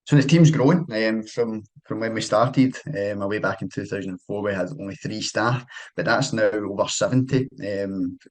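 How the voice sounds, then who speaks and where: Scottish account manager with a slightly low, husky voice, chatty presenter. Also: male voice, normal speed. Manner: loose and conversational, with many fillers and restarts. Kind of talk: casual presentation.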